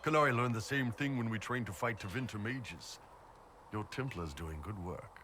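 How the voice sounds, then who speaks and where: Man voicing a very deep, blunt warrior captain. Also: moderate pace, noisy background, close to an everyday voice. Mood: approving, matter-of-fact. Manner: laid-back, blunt, colloquial. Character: huge horned warrior mercenary captain, blunt, easygoing, shrewd. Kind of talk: video game dialogue.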